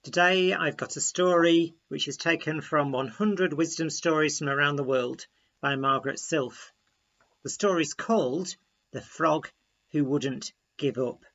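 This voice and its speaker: A man reading a children's story aloud in a deliberate, expressive storytelling manner. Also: fairly high pitch, lo-fi sound.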